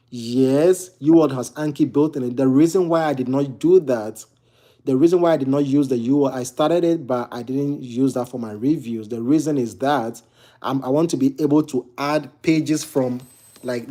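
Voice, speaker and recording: A man's voice: mid-range voice, moderate pace, dark tone. A young man from Ghana with a Ghanaian accent, casually answering viewer questions about exam study, with lots of fillers and restarts. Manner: chatty, many fillers and restarts. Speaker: medical exam tutor. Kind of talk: casual Q&A walkthrough. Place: home near a kitchen.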